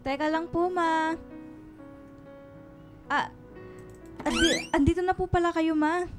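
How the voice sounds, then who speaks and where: High-pitched bratty Filipina teen. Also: female voice, fairly even intonation, takes it slowly, over music. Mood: nervous, meek. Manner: bratty, defensive. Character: snappish teenage girl, eldest daughter. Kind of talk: Tagalog radio drama acting.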